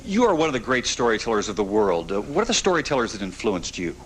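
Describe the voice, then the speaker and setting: Male interviewer, lowish voice, broadcast interview delivery, faintly noisy old radio tape. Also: big pitch swings, quick pace.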